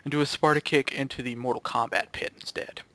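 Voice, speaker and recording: A man's voice: mid-range voice, steady tone, fairly quick, bright, forceful tone. A young man in a casual group chat, bantering in a geeky, exclamatory way as he reacts to videos. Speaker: member of an online gaming video group.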